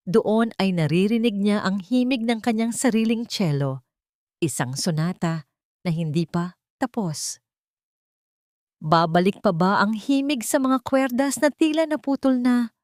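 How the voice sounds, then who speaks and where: A woman with a lowish voice reads a dramatic story with earnest emotion. The recording is dry and close, with no room sound. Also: a little mellow, no echo. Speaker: narrator.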